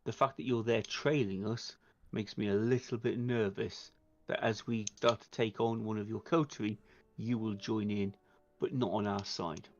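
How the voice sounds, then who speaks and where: Man playing a gravelly, wary vampire leader. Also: fairly low pitch, fairly even intonation, normal speed, lightly acted. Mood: wary, firm. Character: wary, diplomatic male vampire leading his group. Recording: slightly dull audio, music behind.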